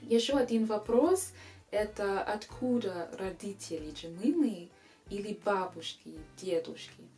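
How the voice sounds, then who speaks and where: A young woman from England, a native English speaker, with an English accent, answering viewer questions in a casual vlog and pausing often with hesitant fillers. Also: mid-range voice, dark tone, soft background music. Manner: slow, careful learner's speech with frequent hesitations.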